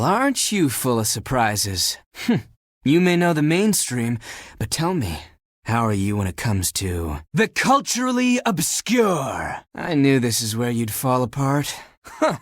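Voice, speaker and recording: A haughty, theatrical would-be fashion designer from a video game, a living pile of laundry with a great sense of drama. The voice is husky and close and dry, swooping freely up and down as it teases and looks down its nose. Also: male voice, mid-range voice, no room sound. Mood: scornful. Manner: haughty, flamboyant, dramatic, teasing. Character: sassy, theatrical talking dirty laundry, aspiring fashion designer. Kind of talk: animated video game character acting.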